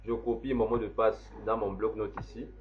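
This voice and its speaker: A man, slowly walking through a software setup step by step as an instructor. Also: fairly low pitch, flat delivery, a little hiss or hum. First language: French. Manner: slow, step-by-step explaining. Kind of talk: screen-recorded software tutorial. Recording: dull lo-fi voice recording.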